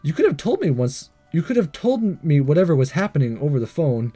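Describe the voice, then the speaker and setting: A man's voice: a little high, very animated, brisk, warm tone. A man reading a visual novel aloud as a let's play host, stumbling over lines and re-reading them, with commentary. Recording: music behind the voice. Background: game music.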